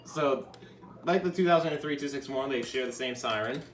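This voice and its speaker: A man casually showing his radio collection on video, rambling and self-deprecating, swearing freely and sometimes breaking into shouts. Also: mid-range voice, a little fast. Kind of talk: casual show-and-tell vlog. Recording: echoey room with background noise.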